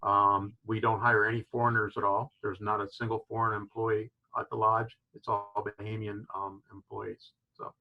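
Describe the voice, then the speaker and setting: A man's voice: lowish voice, level tone, normal speed, few pauses, phone-quality sound. A man from Florida who owns a fishing lodge, explaining with enthusiasm and many "you know" fillers on a video call. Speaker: fishing lodge owner, guest. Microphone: video call microphone.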